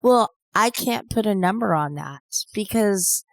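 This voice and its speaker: A woman co-hosting a podcast, talking in a measured, reflective way. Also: mid-range voice, lively intonation, dry recording. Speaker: podcast co-host. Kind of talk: casual podcast talk.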